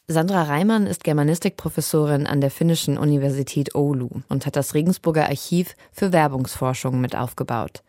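Low-voiced woman reporting, dry studio sound. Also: warm tone, dry recording. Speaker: radio reporter. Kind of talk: scripted radio feature narration.

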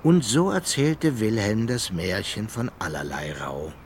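A man narrating a children's radio play in a measured storytelling way. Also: lowish voice, animated, slight background noise. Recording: music under the voice.

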